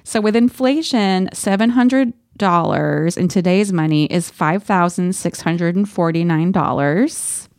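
A woman's voice: fairly low pitch, unhurried, hardly any pauses, darkish tone, dry recording. Wry woman co-host, dry close sound. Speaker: podcast co-host. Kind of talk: casual book-discussion chat.

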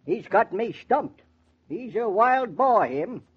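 An actor playing a kindly old rustic neighbour with a rural American accent and folksy, rambling speech in 1940s radio drama style. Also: male voice, high-pitched, expressive, takes it slowly, slightly rough, exaggerated voice. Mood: puzzled. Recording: dull 1940s radio recording.

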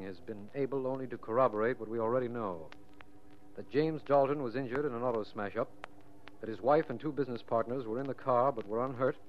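A man playing a sober American district attorney, narrating a 1940s radio drama in a formal, measured manner. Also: mid-range voice, close to an everyday voice. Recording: muffled, noisy 1940s radio recording.

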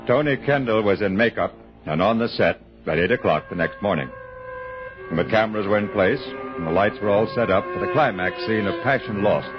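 A man's voice: fairly low pitch, brisk. An American man narrating a scripted radio thriller. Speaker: story narrator. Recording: dull old radio broadcast recording. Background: loud dramatic music.

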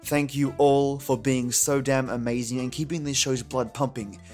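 A man's voice: mid-range voice, fairly even intonation, normal speed, a little mellow. A man hosting a podcast with scripted, theatrical, dramatic narration. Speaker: podcast host. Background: music.

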